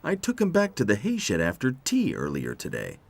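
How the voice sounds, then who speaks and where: A man's voice: on the low side, huge pitch swings, brisk, vocal fry, voice pushed up, exaggerated voice. A man voicing a knowing, amused farm vehicle who explains things patiently in a children's storybook. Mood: amused, explaining.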